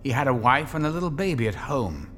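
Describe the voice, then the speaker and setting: A man's voice: mid-range voice, sing-song, quick pace, a bit bright, faint music behind. A man narrating a scripted dramatic story as the narrator of an audio drama.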